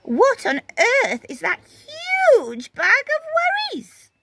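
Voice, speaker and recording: A woman's voice: very high voice, wildly swooping pitch, takes it slowly, vocal fry, heavy background noise, pitched above the performer's own voice, full character voice. A woman voicing a kindly, firm old lady neighbour in a children's storybook, speaking emphatically and reassuringly. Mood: astonished. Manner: emphatic, exclaiming, instructing.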